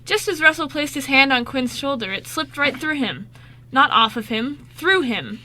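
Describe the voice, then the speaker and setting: A woman narrates an amateur radio play from a script. Her voice is forward and very bright, set a little high. She reads the story with expression. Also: lively intonation, normal speed, a little hiss or hum.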